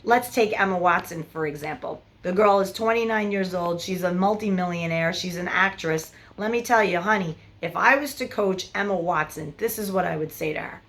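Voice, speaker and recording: A woman in her forties, a love coach giving frank, animated big-sister advice straight to camera. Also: lowish voice, moderate pace, hardly any pauses. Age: 40s. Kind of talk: direct-to-camera advice vlog.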